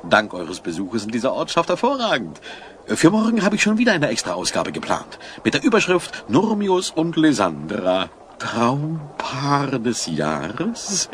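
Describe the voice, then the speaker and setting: Man playing an excitable, swooping small-town newspaper columnist. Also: mid-range voice, measured pace, slight background noise. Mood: gleeful, boastful. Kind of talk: German audio drama acting.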